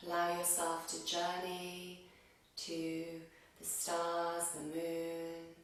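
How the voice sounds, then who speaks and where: A woman teaching yoga nidra, guiding a meditation gently and unhurriedly in short phrases with pauses between them. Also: on the low side, completely flat delivery. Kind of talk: guided meditation, slow soothing instruction. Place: reverberant room, recorded from a distance. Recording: very echoey room, distant microphone.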